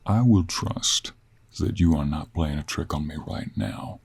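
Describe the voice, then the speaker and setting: A man playing a gruff, gentlemanly cowboy monster hunter in an intimate audio roleplay, with an American Western accent, a gravelly, husky voice and a slow, courteous drawl. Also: very deep voice, exaggerated voice. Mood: earnest, gentle. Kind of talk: intimate one-sided audio roleplay acting.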